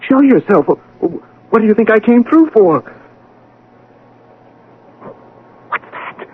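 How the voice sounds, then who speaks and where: An American actor playing a proud young scientist, shaken and bewildered, with intense, halting delivery in a radio drama. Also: male voice, high-pitched, big pitch swings, narrow, tinny audio. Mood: agitated. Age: young adult. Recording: noisy, band-limited old radio broadcast.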